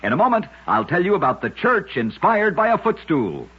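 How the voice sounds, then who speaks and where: A dull, lo-fi radio recording carries a very husky man narrating curious facts. He sits fairly high for a male voice and delivers his script in a measured, emphatic way, as a storyteller hosting a show. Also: sing-song.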